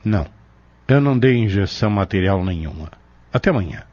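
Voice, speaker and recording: An actor playing a wise pharmacist and spiritual adviser in a Brazilian radio drama, firm, measured and didactic. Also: male voice, fairly low pitch, animated, a little mellow, no room sound. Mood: calm, kindly. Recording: dull audio with music.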